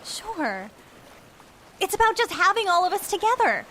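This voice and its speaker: An enthusiastic, oblivious young theater crew member in an audio drama. Her voice is high and very animated, breezy and earnest, with light outdoor noise behind it. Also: moderate pace, full character voice. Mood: cheerful, oblivious.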